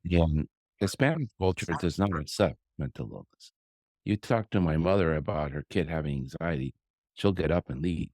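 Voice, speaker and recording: A man's voice: extremely low pitch, darkish tone, dry recording. A man hosting a casual interview conversation, speaking in a pointed, sardonic way. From born in Colombia, raised elsewhere. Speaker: podcast host, pediatrician.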